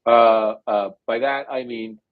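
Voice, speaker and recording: Guy in his 40s, jersey accent, lots of uhs, thinks out loud, dry close sound. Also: mid-range voice, fairly even intonation, dry recording, phone-quality sound. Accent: New Jersey. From India. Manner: thoughtful, hesitant, full of drawn-out "uh" fillers. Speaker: guest neuroscientist. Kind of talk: conversational interview answer.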